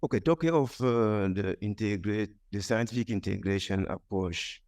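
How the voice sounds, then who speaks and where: A man's voice: on the low side, normal speed, darkish tone. A man answering on a conference panel, hesitant, with many fillers and restarts.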